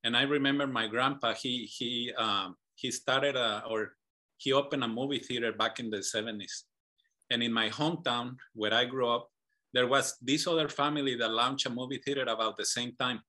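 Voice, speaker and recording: A man's voice: mid-range voice, steady tone, normal speed, pushed and bright. A man from Mexico, a business association founder, telling his story as a guest at an online meetup, earnest and often repeating words as he searches for phrasing.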